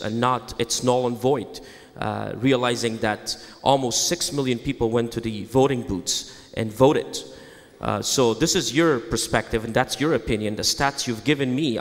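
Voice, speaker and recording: Halting diplomat in a reverberant hall. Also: male voice, medium pitch. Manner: halting, many fillers, formal. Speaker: ambassador asking a question. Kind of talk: audience question at a debate. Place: large televised debate hall with audience. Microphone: handheld mic in audience.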